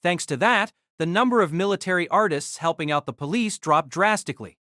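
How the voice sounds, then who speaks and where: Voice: mid-range voice, fairly quick, pushed and bright, no echo, studio quality. A narrator reading scripted third-person story narration for an audio drama.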